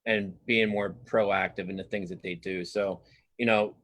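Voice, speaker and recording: A man's voice: on the low side, steady tone, fairly bright tone. A middle-aged man speaking as an interviewed cartoonist in a casual, earnest way, often interrupting himself and starting over. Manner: halting, self-interrupting, earnest.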